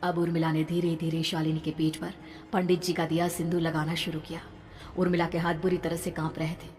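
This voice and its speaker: A woman's voice: deep voice, little pitch movement, fairly quick, darkish tone. A woman narrating a scripted horror story in a dramatic storytelling style. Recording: long reverb, background noise.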